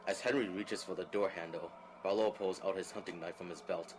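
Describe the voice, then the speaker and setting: A man's voice: sits low, fairly even intonation, a little fast. A man narrating a drama script, reading out scene action as scripted narration. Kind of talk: scripted narration of stage directions. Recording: clear background noise.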